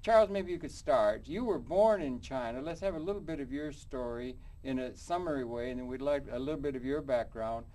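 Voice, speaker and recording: A man's voice: mid-range voice, fairly quick, hardly any pauses, mellow. A man hosting a studio interview show, rambling and searching for words as he introduces his guests. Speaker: talk show host. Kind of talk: public access interview.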